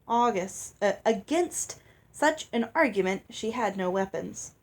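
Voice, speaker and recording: A woman's voice: mid-range voice, lively intonation, unhurried. A woman reading a novel aloud as narrator, in a storytelling style. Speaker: audiobook reader.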